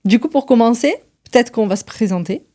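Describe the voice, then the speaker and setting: A middle-aged woman chatting casually on a podcast, breaking up her sentences with frequent hesitant pauses. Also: on the high side, warm tone. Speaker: podcast co-presenter, business manager. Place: indoors at her home.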